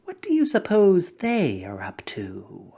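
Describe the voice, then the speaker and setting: Weary old straw emperor, slow and sighing, often breathy, swinging widely in pitch, as a woman reader plays him. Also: huge pitch swings, mellow, full character voice. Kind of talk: storybook reading.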